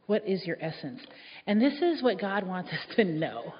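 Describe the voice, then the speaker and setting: A woman's voice: medium pitch, very animated, normal speed, husky. Female speaker, reflective sermon storytelling, long hall reverb. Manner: reflective, warm storytelling with soft laughs. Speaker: speaker giving a church talk. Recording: dull echoey hall sound.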